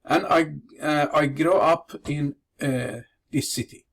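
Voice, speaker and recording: Measured Iranian-born man lecturing. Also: fairly high pitch, gravelly, slightly rough. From Iran. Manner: measured, deliberate delivery in non-native English. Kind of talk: prepared lecture with slides.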